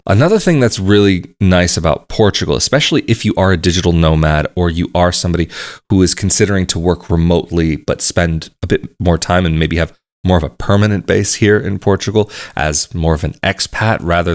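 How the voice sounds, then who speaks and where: A man talking casually to the camera, explaining things at a measured pace and repeating phrases for emphasis. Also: low voice. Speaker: vlogger.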